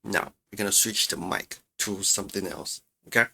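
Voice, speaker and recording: A man with a fairly low voice talks through a tutorial informally. He explains things casually and repeats points for emphasis, speaking into a studio mic in a home room. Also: steady tone, moderate pace, gravelly, background noise. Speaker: audio tutorial presenter. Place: small untreated home room with furniture. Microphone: close studio microphones, condenser then dynamic.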